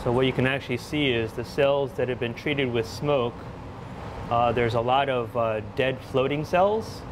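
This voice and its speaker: A man's voice: mid-range voice, moderate pace, noisy background. Middle-aged man chatting in a lab. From Taiwan, grew up in the US. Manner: relaxed, frequent fillers and restarts. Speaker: research scientist. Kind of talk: casual interview about his lab work. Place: indoor laboratory.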